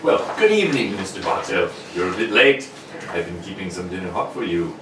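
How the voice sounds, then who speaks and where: In a roomy, noisy recording, a man acts out a genial older gentleman doctor from a vintage radio mystery. His lowish voice swings expressively as he reminisces in a chummy, slightly flustered manner. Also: very animated, brisk. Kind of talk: 1940s radio drama read-through. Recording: roomy, distant mic, noisy home recording.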